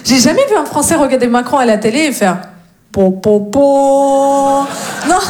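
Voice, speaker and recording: Higher-voiced Russian-accented woman doing stand-up. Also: normal speed, darkish tone, faint music behind. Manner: cheeky, teasing, sweary. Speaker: stand-up comedian. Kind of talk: stand-up comedy monologue to a live audience. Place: live comedy stage before an audience.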